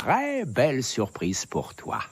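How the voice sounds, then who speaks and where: A man's voice: mid-range voice, huge pitch swings, slow. A man playing a scheming adult lion villain in a cartoon film, with a theatrical, sardonic drawl. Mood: sly, sardonic. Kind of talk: animated film dub acting. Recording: loud film music behind.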